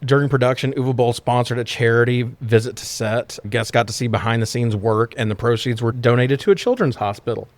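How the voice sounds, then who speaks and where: A man's voice: mid-range voice, fairly quick, hardly any pauses, no echo. A man co-hosting a podcast, sharing movie trivia in a jokey, conversational, sometimes sweary way. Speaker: podcast co-host. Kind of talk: casual film-trivia chat, partly reading facts.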